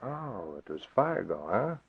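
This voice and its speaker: Radio serial crook: lowish, raspy, smug and greedy, thin vintage sound. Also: male voice, big pitch swings, measured pace, exaggerated voice. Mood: smug, gloating. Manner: smug, theatrical. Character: crooked male schemer, self-satisfied and greedy. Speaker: radio actor. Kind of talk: old-time radio drama acting. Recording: tinny, narrow old radio recording.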